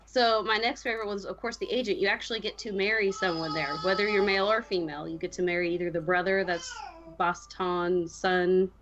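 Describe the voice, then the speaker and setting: Woman, mid-pitched voice, chatty, opinionated, casual gaming podcast talk, slightly muffled online recording. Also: fairly even intonation, a little fast, fairly bright tone, a little hiss or hum. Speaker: podcast co-host discussing a video game. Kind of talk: casual chat.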